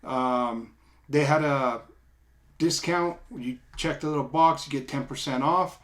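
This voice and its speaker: Middle-aged man, casual halting explainer. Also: mid-range voice, a bit bright. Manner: informal, halting step-by-step explaining. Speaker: product reviewer. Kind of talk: casual unscripted product demo. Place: small indoor room, seated at a table.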